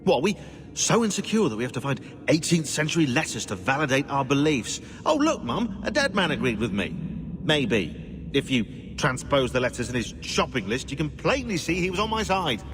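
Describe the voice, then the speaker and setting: An actor playing a cynical, opinionated British historian in a video game, speaking in an articulate, sardonic and indignant way. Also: male voice, mid-range voice, very animated, normal speed, background noise, lightly acted. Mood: mocking. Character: cynical, opinionated male historian, argumentative and bitingly dry. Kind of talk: acted video game dialogue.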